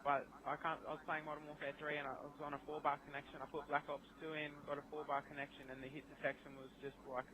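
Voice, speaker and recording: A man's voice: on the high side, completely flat delivery, fast, hardly any pauses. A young man in a casual gaming chat over an online voice call. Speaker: podcast guest. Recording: faint noise, online voice chat.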